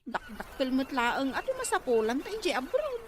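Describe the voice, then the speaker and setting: An actress plays a spoiled college girl grumbling about farm work in a radio drama. Her voice is extremely high and swings widely, over a noisy recording. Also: big pitch swings, normal speed. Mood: whining, complaining. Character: whiny, lazy college-age daughter avoiding farm work. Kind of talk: Ilocano radio drama acting.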